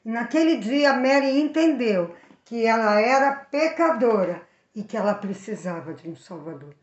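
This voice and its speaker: Brazilian woman storyteller, higher voice, lively and direct with young listeners, roomy sound. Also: normal speed, lo-fi sound. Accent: Brazilian Portuguese. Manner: animated, addresses the young viewers directly. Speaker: children's Bible storyteller. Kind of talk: storytelling for children.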